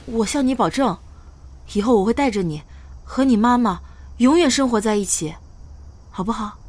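A woman's voice: on the high side, expressive, normal speed, a little mellow. Playful, tender young woman acted by a woman. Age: young adult. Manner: playful, coaxing. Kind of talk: modern Chinese audio drama acting.

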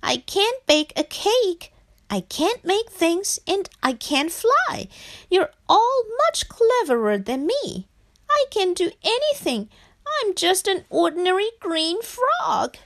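Storybook frog, male, small and plaintive, performed by a woman. High, childlike, very animated delivery. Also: a little slow, no echo, clean studio sound, heavily acted. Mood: sad, self-pitying. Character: small eager male frog, easily downcast.

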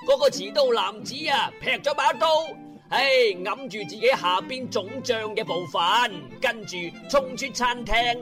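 High, bright Cantonese male storyteller. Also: soft background music. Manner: theatrical, colloquial, with drawn-out words and rhetorical questions. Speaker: narrator of an internet radio drama. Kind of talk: animated comic storytelling narration.

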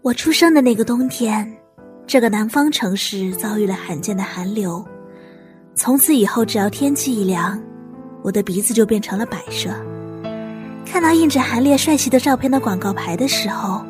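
A woman's voice: mid-range voice, lively intonation, normal speed, a little mellow, faint music behind, natural voice. A woman playing an eager, earnest and polite young would-be chef in a modern urban audio drama. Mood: wistful narration.

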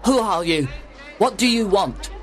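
A man's voice: fairly high pitch, lively intonation, moderate pace, fairly bright tone, some chatter behind, voice pushed up. A man voicing a frightened, suspicious little man with a jittery, questioning manner in a storybook reading. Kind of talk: acted audiobook dialogue.

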